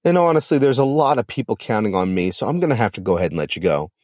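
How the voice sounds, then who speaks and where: A man voicing a calm, dismissive female demon who runs a party. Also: fairly low pitch, very fast, dry recording, clean studio sound. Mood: curt. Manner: breezy, condescending.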